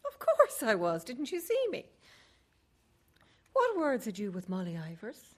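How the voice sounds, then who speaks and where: A lively, teasing young wife. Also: female voice, mid-range voice, measured pace. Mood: brisk.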